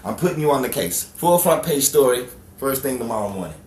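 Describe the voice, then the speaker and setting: A man playing a gruff, blustering, bossy 1930s newspaper editor with hard-boiled slang in an old-time radio drama. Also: medium pitch, lively intonation, a little fast, darkish tone, close to an everyday voice. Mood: brusque, firm. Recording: distant roomy recording with faint noise.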